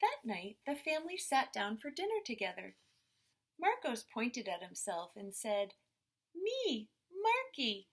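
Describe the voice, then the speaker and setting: A woman teacher reading a picture book aloud to young children in expressive, simple phrases. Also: fairly high pitch, moderate pace, husky.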